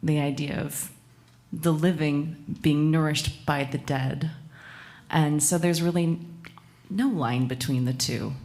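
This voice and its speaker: A woman's voice: low-pitched, fairly even intonation, takes it slowly, a little mellow. A woman from Alaska, a musician introducing a song on a concert stage, speaking reflectively with many hesitations. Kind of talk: casual onstage talk.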